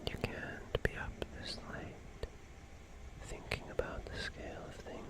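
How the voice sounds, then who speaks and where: An ASMR streamer who speaks in a soft whisper at a slow, unhurried pace. The delivery is soothing and reassuring, with a gently playful touch. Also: low-quality recording.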